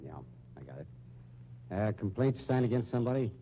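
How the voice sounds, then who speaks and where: An actor playing a curt, skeptical and impatient police lieutenant in a 1950s radio drama, with dry sarcasm. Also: male voice, mid-range voice, very animated, brisk, close to an everyday voice. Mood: brisk, incredulous. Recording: muffled, noisy old 1950s radio recording.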